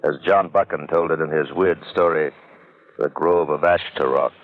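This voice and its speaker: A man's voice: very deep voice, fairly even intonation, normal speed, loud music behind the voice. A man announcing a 1940s radio drama in a portentous, dramatic manner. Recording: tinny old radio recording.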